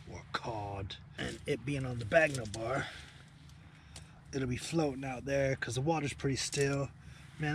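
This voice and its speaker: A man's voice: mid-range voice, fairly bright tone. A man in his forties giving casual, enthusiastic running commentary to camera outdoors as he fishes, in an informal, matey way of talking. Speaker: angling vlogger. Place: outdoors at night on a beach by a small shelter. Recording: clearly noisy outdoor location sound.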